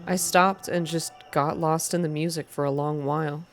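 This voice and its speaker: A woman's voice: sits low, fairly even intonation, normal speed. A woman reading a story game aloud as scripted narration in an ASMR style, with music behind her. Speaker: reader of a visual novel game.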